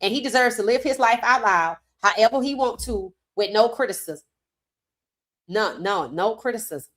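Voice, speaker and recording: A hoarse woman hosting a sports talk stream, her voice sitting a little high. She talks in an animated, emphatic way, full of casual slang. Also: moderate pace. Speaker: host. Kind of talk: sports commentary livestream.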